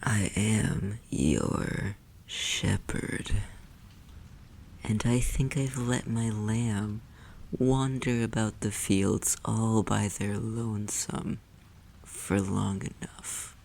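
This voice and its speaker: Possessive demon played by an ASMR roleplayer: condescending, formal, creaky delivery that often sinks to a whisper, over audible background noise. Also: mid-range voice, fairly even intonation, drawn-out words, a little mellow. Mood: possessive, haughty. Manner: condescending, teasing, imperious, theatrically formal. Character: possessive, haughty demon toying with a human captive.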